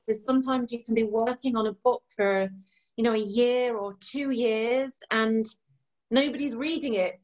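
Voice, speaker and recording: A woman in her forties from East Yorkshire with a mixed Yorkshire and Irish accent, an author chatting enthusiastically and laughing easily on a video call from a room of bookshelves. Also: a little high. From East Yorkshire, England. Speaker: author being interviewed. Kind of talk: casual chat. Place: home room lined with bookshelves. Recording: dull lo-fi video call audio.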